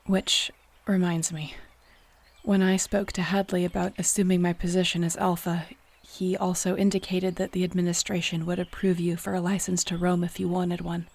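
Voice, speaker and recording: Casting: actress as young female shifter Alpha, earnest and vulnerable, husky with a gravelly edge, intimate audio drama. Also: lowish voice, darkish tone. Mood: sincere, restrained. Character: young shapeshifter woman, new clan Alpha, earnest, vulnerable, tender. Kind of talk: intimate audio roleplay acting addressed to the listener.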